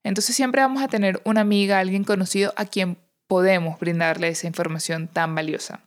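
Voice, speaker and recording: A woman's voice: medium pitch, fairly even intonation, brisk. A woman hosting a casual solo podcast, speaking informally and encouragingly straight to her listeners. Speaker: podcast host.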